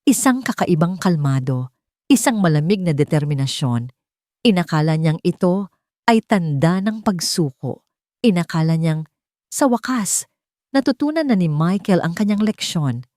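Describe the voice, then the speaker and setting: A woman's voice: lowish voice, animated, moderate pace, darkish tone, dry recording, clean studio sound. A woman with a Filipino accent reading a dramatic love story as a scripted narration.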